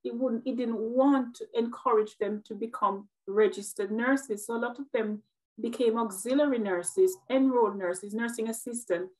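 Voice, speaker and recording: A woman's voice: a little high, normal speed, a little mellow, rough recording. Earnest Jamaican woman, reflective. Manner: earnest and reflective, repeats words as she thinks. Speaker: guest, nurse. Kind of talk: interview conversation.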